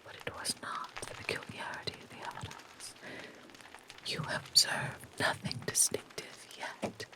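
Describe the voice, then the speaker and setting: ASMR reader doing a methodical male detective, whispered, deliberate, storybook tone. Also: lightly acted, rough recording. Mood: reasoning. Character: calm, methodical male detective reasoning aloud.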